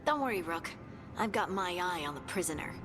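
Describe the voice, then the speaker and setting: A woman's voice: on the low side, lively intonation, brisk, over music, lightly acted. A woman playing a wary, skeptical companion who speaks with concern in dramatic video game dialogue. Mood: wary, cautioning.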